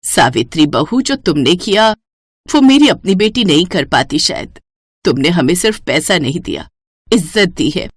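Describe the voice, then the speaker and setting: A grateful, ailing older woman from a cartoon moral tale, acted out by a woman narrator. Her voice is lowered, with a dry, close sound. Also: big pitch swings, moderate pace, husky, no room sound.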